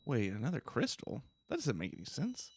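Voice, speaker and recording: A man's voice: mid-range voice, wildly swooping pitch, fairly quick, a little hiss or hum. A man giving casual, laid-back, slangy commentary over a video game. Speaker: let's play streamer. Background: video game music.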